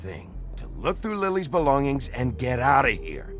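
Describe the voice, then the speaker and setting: An actor playing a gruff, terse, impatient hard-boiled investigator in a video game. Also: male voice, mid-range voice, very animated, normal speed, lightly acted. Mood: irritated. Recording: music behind the voice.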